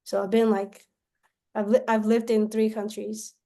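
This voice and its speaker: A woman from Myanmar, a salesperson pitching her service on a call, often tagging sentences with questions and restarting them. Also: little pitch movement, unhurried, warm tone. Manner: pitch broken up by frequent tag questions and restarts.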